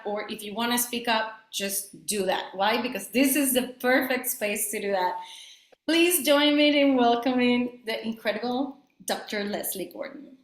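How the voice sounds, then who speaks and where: A Spanish-speaking woman hosting a video-call webinar who talks warmly and earnestly, with small restarts and filler words. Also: a little high, echoey room, low-quality recording. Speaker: webinar host introducing the speaker. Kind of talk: casual welcome and introduction on a video call.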